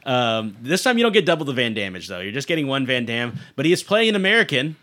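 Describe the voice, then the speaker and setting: Guy hosting a movie podcast, really bright forceful voice, jokey and into it. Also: mid-range voice, big pitch swings, fast talker. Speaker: podcast host. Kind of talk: casual movie discussion.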